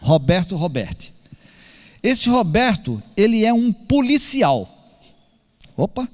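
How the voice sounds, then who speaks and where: Preacher guy, high voice all over the place, telling a story w/ voices, big echoey church. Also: slow. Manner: animated storyteller acting out dialogue. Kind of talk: sermon storytelling. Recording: long hall reverb.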